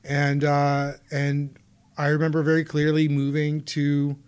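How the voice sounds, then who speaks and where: Russian-born man telling halting stories. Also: a little high, monotone, a little mellow, clear, resonant tone. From Soviet Union. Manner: rambling, halting storyteller with many restarts. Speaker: podcast guest. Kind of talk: casual conversation.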